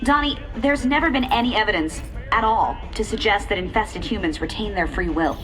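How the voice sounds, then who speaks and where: A woman playing a composed, earnest TV news reporter in a video game, crisp and measured. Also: mid-range voice, fairly bright tone, soft background music, natural voice, rough recording.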